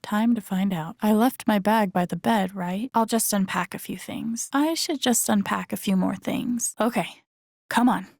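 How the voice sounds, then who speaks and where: A young American woman as an introspective video game heroine. Her voice sits at a middling pitch with a gravelly fry, recorded very dry and close. She talks to herself in naturalistic, wry murmured asides. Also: normal speed, darkish tone, dry recording. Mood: casual, wry. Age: young adult. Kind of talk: naturalistic game inner-monologue acting.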